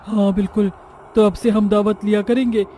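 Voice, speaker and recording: A woman voicing a greedy, confident female witch ghost in an animated horror story, eager and boastful. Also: mid-range voice, normal speed, a little hiss or hum, natural voice.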